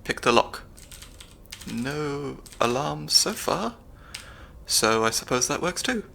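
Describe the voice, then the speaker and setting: Young man playing a spy handler, bright-edged voice, gravelly, analytical, dry humor, slightly anxious, asmr audio roleplay. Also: mid-range voice, sing-song, slow, heavily acted. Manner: analytical, dry wit, soft-spoken. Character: male stand-in spy handler, nerdy, wry, slightly anxious.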